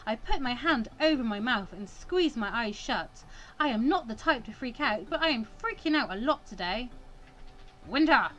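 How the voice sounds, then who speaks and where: A woman's voice: fairly high pitch, lively intonation, moderate pace, fairly bright tone, highs cut off. A woman hosting a let's play, reading visual novel text aloud and breaking off for wry, sarcastic asides.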